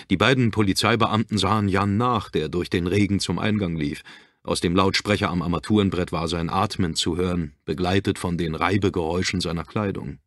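A man's voice: sits low, fairly bright tone, dry recording, clean studio sound. A man narrating a suspense thriller audiobook in a scripted, literary reading style. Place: dry studio.

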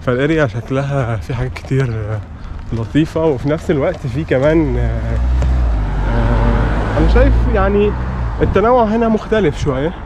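A man's voice: mid-range voice, lively intonation, a little fast. A man with a Cairo Egyptian accent giving casual, unscripted walking-tour commentary as a vlog host, mixing English words into his Arabic. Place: outdoors walking along residential villa streets. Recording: noisy outdoor street with passing vehicles.

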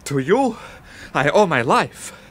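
A man's voice: fairly high pitch, very animated, slow, a bit bright, slight background noise, heavily acted. A man playing a servile, frightened waiter in a radio drama, stammering and deferential. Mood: intense gratitude. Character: frightened, servile male waiter, pleading and grateful. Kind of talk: 1970s radio drama acting.

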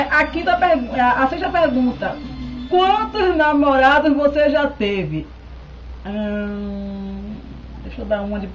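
Chatty high-voiced woman, dull webcam sound. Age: 30s. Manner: chatty, keeps checking in with "tá?". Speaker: beauty vlogger. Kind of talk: casual chat to camera. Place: indoors at home, small room. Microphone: webcam close up.

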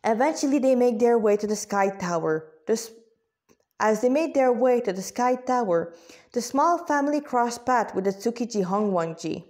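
A woman narrating a fantasy story informally, halting at times to repeat or correct her words and add asides. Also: fairly high pitch, animated, darkish tone.

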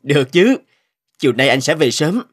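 A man's voice: fairly high pitch, sing-song, normal speed, husky. A man voicing a suited husband and company boss in a cartoon, affectionate but prone to suspicion. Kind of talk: Vietnamese cartoon dubbing. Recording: music under the voice.

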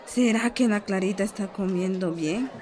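An actress playing a friendly, assured village health adviser in a radio soap, explaining patiently with a Guatemalan accent. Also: female voice, mid-range voice, moderate pace, darkish tone, a faint crowd, close to an everyday voice. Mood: reassuring, instructive. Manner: instructive, patient, encouraging. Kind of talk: radio soap opera acting.

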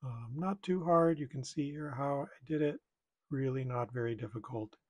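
A man's voice: medium pitch, moderate pace. A middle-aged man giving casual hands-on tutorial commentary, thinking aloud in a tentative way. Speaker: repair video maker.

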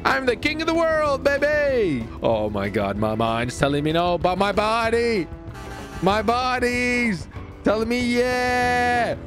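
A man giving excited, playful gaming commentary in a theatrical way, often exclaiming and repeating his phrases. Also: very high voice, takes it slowly, over music. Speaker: gamer and video host.

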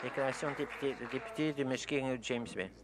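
A man interpreting parliamentary speeches on the fly, his delivery halting and full of fillers and self-corrections. The murmur of a busy legislative chamber stays clearly audible underneath, and his pitch sits in an ordinary middle range. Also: crowd noise, noisy background. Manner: hesitant, frequent fillers and restarts. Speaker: simultaneous interpreter. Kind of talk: live interpretation of parliamentary statements.

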